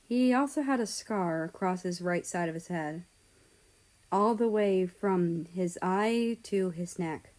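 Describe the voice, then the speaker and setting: A woman narrating a scripted first-person story in a measured, deliberate way. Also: fairly low pitch, darkish tone. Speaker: audiobook-style reader of fan fiction.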